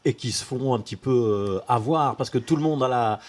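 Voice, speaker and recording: A man hosting a TV interview, asking measured questions with frequent fillers. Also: mid-range voice, darkish tone. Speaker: TV host.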